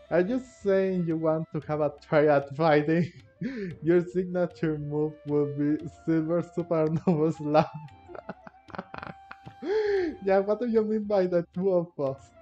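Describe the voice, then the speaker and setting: A man's voice: a little high, steady tone, normal speed, lo-fi sound. A man giving casual, hesitant gameplay commentary as he plays. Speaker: gamer playing and commenting. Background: faint game music.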